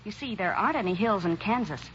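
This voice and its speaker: A woman's voice: medium pitch, animated, fast, close to an everyday voice. An American actress playing an earnest, hopeful, tender young farm woman in an old radio drama. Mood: curious. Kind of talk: 1940s radio acting. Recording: dull, noisy old radio recording.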